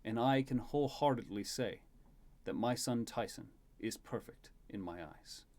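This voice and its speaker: A man's voice: mid-range voice, unhurried, darkish tone, lightly acted. A man voicing a proud, loving Goliath king in a stately, formal speechmaking manner for a fantasy story. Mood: tender pride.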